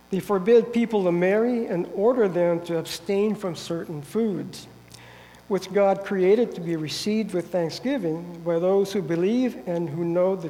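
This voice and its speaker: A man preaching a sermon while getting over a head cold, so he sounds nasal and stuffy, speaking carefully and earnestly and clearing his throat now and then. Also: high voice, warm tone. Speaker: guest preacher. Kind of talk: sermon with Bible reading. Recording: long hall reverb.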